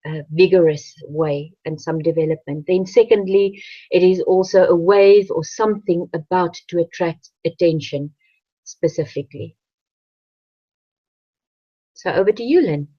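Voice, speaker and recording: A woman co-hosting a webinar who reads out dictionary definitions in a measured, steady way. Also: lowish voice, no room sound. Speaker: webinar co-host. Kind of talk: presenting a webinar, reading definitions. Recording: dull, dry lo-fi audio.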